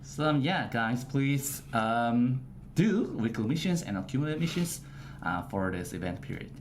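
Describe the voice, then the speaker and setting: A man hosting a casual game livestream, talking in halting, filler-heavy phrases. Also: medium pitch. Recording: steady background noise with typing.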